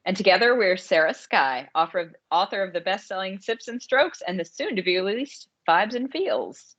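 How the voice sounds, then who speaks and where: A woman's voice: medium pitch, lively intonation, normal speed, a bit bright, dull audio. A woman co-hosting a podcast about romance writing, chatting casually as an author.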